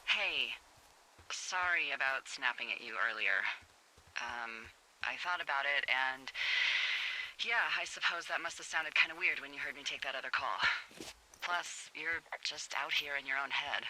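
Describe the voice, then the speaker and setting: A woman's voice: very deep voice, unhurried, sharp tone, full character voice, low-quality recording. A woman playing a wry, teasing American fire lookout in a naturalistic video game, chatty and sardonic, heard over a two-way radio. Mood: wry, candid.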